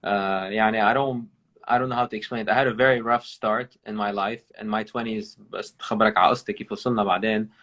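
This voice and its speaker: A man from Lebanon with a Lebanese accent, a guest talking over a video call, mixing English and Arabic with many pauses. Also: lowish voice, fairly even intonation, fairly bright tone. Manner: switches between English and Arabic mid-sentence; talks with many hesitations and restarts. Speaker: podcast guest. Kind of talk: casual interview over a call.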